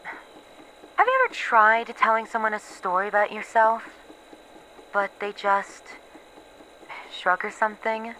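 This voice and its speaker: A woman playing a weary, haunted researcher who is losing her memories, in a halting, introspective horror audio roleplay monologue. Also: mid-range voice, bright, forceful tone, a little hiss or hum, low-quality recording. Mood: wistful, troubled. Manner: halting, introspective, pausing between short phrases.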